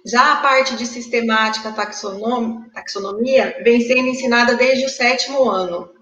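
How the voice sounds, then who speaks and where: A woman moderates a panel from home over a video call, reading viewers' questions aloud between hesitant fillers. Her voice is slightly high, and the room sounds echoey. The audio is a bit dull, with faint noise underneath. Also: moderate pace, fairly bright tone.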